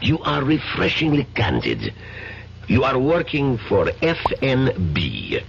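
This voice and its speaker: An actor playing a suave, menacing Frenchman in a radio drama, slow and mock-polite. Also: mid-range voice, huge pitch swings, creaky, full character voice. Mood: amused, probing. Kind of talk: 1970s radio drama acting. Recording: muffled, faintly noisy old radio recording.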